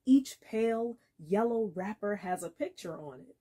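A woman in her thirties gives an expressive dramatic reading of literary fiction, with deliberate phrasing and pauses. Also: medium pitch, breathy edge. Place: small indoor room against a plain wall.

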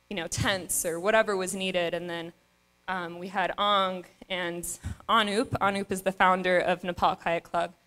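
A woman's voice: on the low side, a bit bright. A young woman on a stage mic, giving an earnest acceptance speech with many ums and restarts. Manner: earnest, with frequent fillers and restarts. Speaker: award recipient giving a speech. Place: stage in a dark event venue. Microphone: stand mic.

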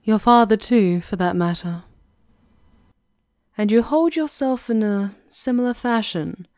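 A woman acting a composed, sly witch conspirator with a measured, knowing manner in an ASMR fantasy roleplay. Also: mid-range voice, a little mellow, no echo, studio quality, natural voice. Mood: sly, reassuring. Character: composed, sly female witch conspirator plotting against a countess.

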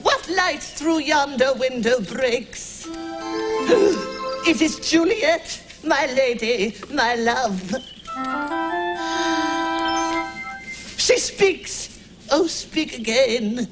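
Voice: high voice, lively intonation, drawn-out words, full character voice, low-quality recording. Someone playing a fussy nervous male rabbit. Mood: swooning. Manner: anxious, stammering, apologetic. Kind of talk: children's TV musical comedy. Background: background music.

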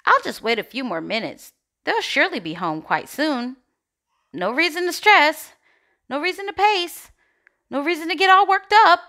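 Lady doing a worried bossy storybook egg, super dramatic, high and swoopy. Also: expressive, normal speed, sharp tone, full character voice. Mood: self-soothing, uneasy. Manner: dramatic, exclaiming, fretful. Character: Shell, anxious bossy egg rallying missing friends. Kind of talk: storybook character read-aloud.

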